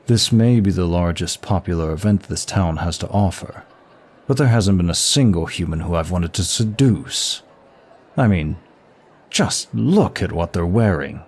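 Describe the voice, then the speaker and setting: Man voicing a deep, husky, languid demon of lust. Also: animated, a little mellow, full character voice. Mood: bored, playful. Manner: languid, teasing, drawn-out delivery. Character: suave, teasing male demon prince of lust, bored and sadistic. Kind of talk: ASMR roleplay acting.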